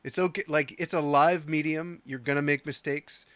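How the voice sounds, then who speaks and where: A man's voice: a little high, fairly even intonation, moderate pace, fairly bright tone, dry recording. A man co-hosting a casual podcast, earnestly giving advice on streaming with lots of fillers. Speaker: podcast co-host.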